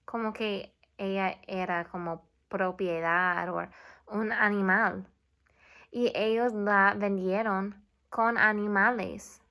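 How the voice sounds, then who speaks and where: A young woman teacher giving a video lesson to children, speaking slowly and clearly and switching between English and Spanish. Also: mid-range voice, a bit bright. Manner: slow and clear, mixing English and Spanish, asks students to repeat. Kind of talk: classroom video lesson for kids.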